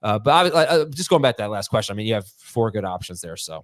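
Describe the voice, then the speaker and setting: A man's voice: fairly low pitch, very animated, quick pace, dry recording, clean studio sound. A man hosting a podcast with a nasal voice, chatting casually and reading listener emails out step by step with self-deprecating humor. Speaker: podcast host.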